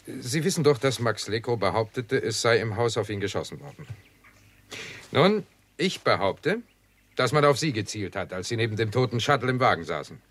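An actor playing a shrewd, bossy investigator with an emphatic, wry manner in a 1960s German radio crime drama. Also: male voice, mid-range voice, very animated, moderate pace, fairly bright tone. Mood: brisk, confident.

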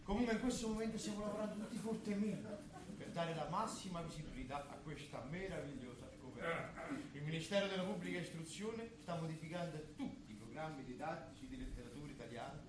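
A pompous male politician, played by a stage actor, speaks in a slightly high voice. He speechifies with official self-satisfaction in a satirical play, in a very echoey, noisy theatre. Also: brisk, close to an everyday voice. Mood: proud, official. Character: self-satisfied pompous government minister of culture. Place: theatre stage, live performance.

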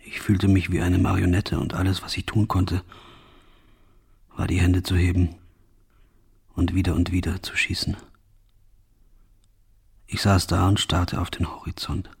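An actor playing a guilt-ridden, exhausted soldier of a firing squad in a radio play, with a husky voice and grave, halting, restrained delivery. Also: male voice, sits low, completely flat delivery, darkish tone, exaggerated voice. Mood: numb, exhausted. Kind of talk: scripted first-person radio play monologue.

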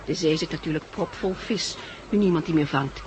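An actress playing an affectionate, level-headed female survivor in a 1960s Dutch radio drama, gentle and matter-of-fact, with a Netherlandic accent. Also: low voice, fairly quick, close to an everyday voice. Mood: pensive. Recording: noisy old 1960s radio recording.

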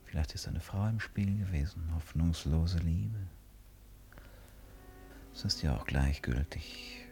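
A deep, creaky, brooding man. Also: darkish tone. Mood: sardonic musing. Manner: musing, self-questioning, theatrical. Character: brooding, ironic, impulsive man musing to himself. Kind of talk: radio play acting, interior monologue. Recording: studio radio play with faint music.

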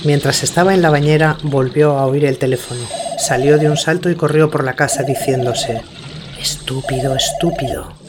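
A man narrating a scripted radio story in a measured, sober manner. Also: fairly high pitch, background noise.

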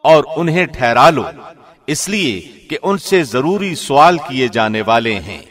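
A man reading a scripture translation formally, in the measured, solemn declamation of a radio presenter. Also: medium pitch, animated, a bit bright.

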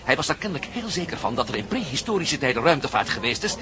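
A man's voice: on the high side, very animated, quick pace, a crowd in the background. An actor playing an eager, talkative male investigator in a radio drama. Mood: intrigued. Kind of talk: Dutch radio drama acting. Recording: noisy, hissy radio play recording.

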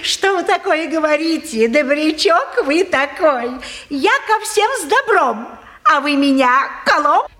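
An actress playing a sly, fawning, sharp-tongued junk dealer in a Russian stage drama, with folksy sing-song delivery. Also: female voice, high voice, lively intonation, a little slow, heavily acted. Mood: fawning, plaintive.

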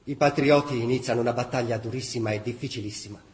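A man's voice: mid-range voice, fast talker. A man reading scripted narration for a dramatic radio play. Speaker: actor narrator. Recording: echoey room with faint background noise.

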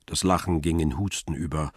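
An actor playing a cool, hard-boiled first-person narrator in a radio crime drama, with a deep husky voice and terse, matter-of-fact delivery. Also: male voice, fairly even intonation, fairly quick, dry recording, studio quality.

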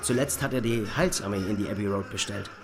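An actor playing a rueful former colleague reminiscing plainly in a German radio play. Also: male voice, fairly low pitch, fast talker, lightly acted. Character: rueful male former colleague recalling a troubled musician. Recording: music behind the voice.

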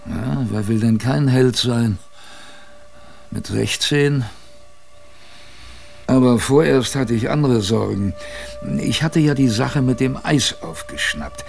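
A man's voice: medium pitch, creaky, darkish tone. An actor playing a former ship's boy who narrates his memories in a radio drama, conversational, vivid and self-mocking. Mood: wry, chatty. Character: reminiscing former ship's boy, a wry male storyteller.

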